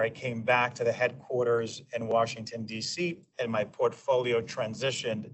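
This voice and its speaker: A man in his forties from New York, a guest in a casual interview, talking in a measured way and often restarting his sentences. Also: mid-range voice, a bit bright. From New York, USA. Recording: distant mic with faint noise.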